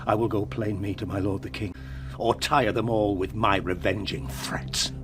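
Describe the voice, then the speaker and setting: A man's voice: lowish voice, steady tone, moderate pace, music in the background, natural voice. An actor playing a middle-aged knight-marshal grieving for his son and seeking revenge, in impassioned, declamatory verse for a radio tragedy. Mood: shocked, vengeful. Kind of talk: Elizabethan tragedy radio acting.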